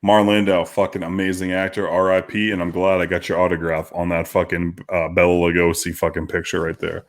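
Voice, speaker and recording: A man's voice: low voice, flat delivery. A man co-hosting a podcast in casual chat, swearing heavily and restarting his sentences. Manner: heavy swearing, halting restarts. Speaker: podcast co-host.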